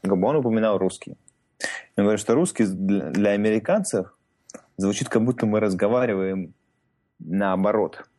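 A man's voice: fairly low pitch, normal speed, mellow. A man co-hosting a casual podcast chat, rambling with lots of filler words. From Russian-speaking immigrant in North America. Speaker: podcast co-host.

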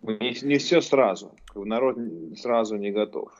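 A man's voice: fairly low pitch, lively intonation, moderate pace. A man in casual chat, joking and leaning heavily on filler words, recorded with a dull lo-fi sound.

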